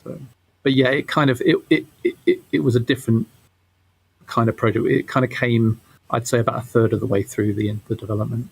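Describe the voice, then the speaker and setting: A man giving casual interview answers as a game developer, halting and often repeating his words. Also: mid-range voice, steady tone, vocal fry, slightly rough, poor audio.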